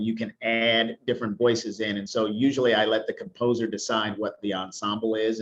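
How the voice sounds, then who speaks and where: A man's voice: lowish voice, a bit bright. Earnest man on a muffled video call. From Detroit, Michigan. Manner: earnest, explanatory, with restarts. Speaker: music educator. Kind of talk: panel discussion answer.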